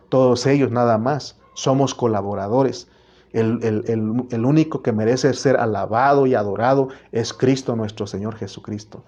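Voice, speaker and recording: A man's voice: mid-range voice, a little mellow. A man with a Mexican Spanish accent preaching a sermon as pastor, earnest and repetitive, often restarting his words.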